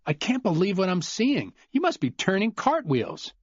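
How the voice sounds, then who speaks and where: A man's voice: sits high, wildly swooping pitch, moderate pace, husky, dry recording, dull audio, pitched above the performer's own voice, full character voice. A man playing a blunt, defiant father. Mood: wry. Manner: wry and blunt, snarling when angry.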